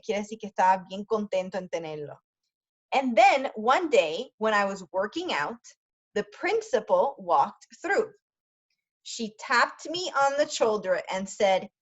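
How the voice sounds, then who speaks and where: A woman teacher reading a story aloud for language learners, pausing often to explain words in quick asides. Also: mid-range voice, muffled recording.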